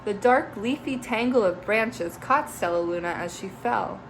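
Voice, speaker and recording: A woman teacher reading a children's picture book aloud, expressive, with chatty asides to young listeners. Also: mid-range voice, unhurried, slight background noise, poor audio.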